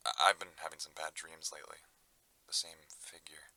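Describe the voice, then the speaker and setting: Guy acting awkward troubled man doing a tape diary, really low voice, hesitant and grudging. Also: flat delivery, brisk, sharp tone, dry recording, exaggerated voice. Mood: subdued, uneasy. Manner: hesitant, mumbling, sighing reluctance. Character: troubled, reluctant, awkward young man keeping audio diary. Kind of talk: audio drama diary monologue.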